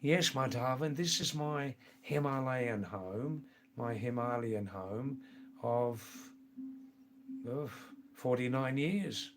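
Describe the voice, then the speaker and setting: A man's voice: medium pitch, slow. Chatty older Anglo-Indian man. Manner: rambling, chatty, many fillers and restarts. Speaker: livestream host. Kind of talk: casual live-stream chat reading viewer comments.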